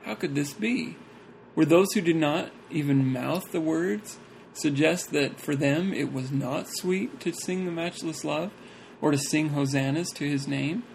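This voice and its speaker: Man, slightly high, gravelly; reads slowly and formally, then jokes; faint room noise. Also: darkish tone, poor audio. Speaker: podcast co-host reading a church talk. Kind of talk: casual chat and reading aloud.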